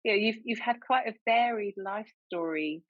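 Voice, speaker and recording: A woman's voice: medium pitch, moderate pace, dry recording. A woman hosting a podcast, introducing her guest in an enthusiastic, chatty way with many restarts. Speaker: podcast host. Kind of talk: podcast interview. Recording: dull, dry sound.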